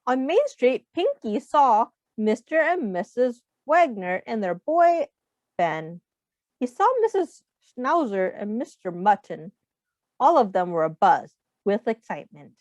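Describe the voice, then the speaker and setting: Lady reading a kids book, super animated n slow, teacher style, kinda echoey and muffled. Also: on the high side. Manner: expressive, asks the listeners questions. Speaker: teacher reading aloud to young children. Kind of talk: children's picture book read-aloud. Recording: muffled, echoey room sound.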